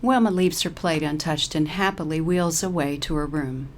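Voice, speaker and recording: Low-pitched woman narrating a story. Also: normal speed, a little hiss or hum. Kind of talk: scripted humorous story narration.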